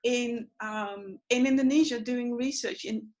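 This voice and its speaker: A woman's voice: a little high, steady tone, measured pace, a little mellow. Chatty woman hosting online. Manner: chatty, storytelling, many fillers. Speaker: symposium host. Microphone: video call.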